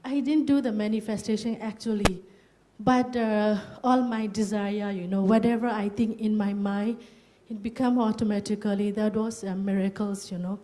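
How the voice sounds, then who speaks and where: Soft-toned woman sharing her story. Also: medium pitch, steady tone, a little hiss or hum. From Burma. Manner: halting, many fillers and "you know". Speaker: retreat participant. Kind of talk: personal testimony.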